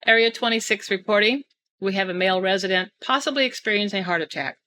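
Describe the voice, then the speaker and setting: A woman's voice: mid-range voice, quick pace, pushed and bright, a little hiss or hum. A woman giving scripted emergency reports as an area radio communicator in a drill.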